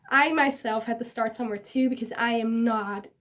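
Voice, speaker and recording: A young woman with a Dutch accent and a high voice talks casually as a book vlogger. Dutch is her first language. Her delivery is giggly and rambling, with a self-deprecating streak. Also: normal speed, a bit bright. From Netherlands. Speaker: book vlogger giving recommendations. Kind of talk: casual chatty vlog to camera.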